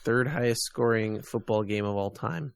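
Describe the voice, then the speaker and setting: A man's voice: on the low side, normal speed, creaky, clean tone, no echo. A man co-hosting a podcast, chatting casually about sports. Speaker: podcast co-host.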